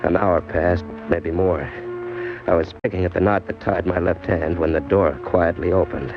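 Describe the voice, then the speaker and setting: Radio noir lead: hard-boiled, broke drifter, very low voice, wry and slangy, muffled old broadcast sound. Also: male voice, fairly even intonation, moderate pace. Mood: grim narration. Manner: tough, slangy, wisecracking. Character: hard-boiled broke drifter narrating his crime story. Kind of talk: old-time radio crime drama acting. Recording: dull old radio recording with music.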